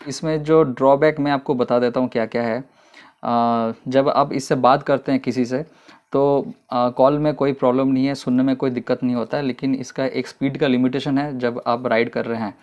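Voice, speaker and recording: A man giving a casual, unhurried product review in a vlog, explaining step by step with frequent fillers. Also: mid-range voice, level tone, a little mellow, dull audio.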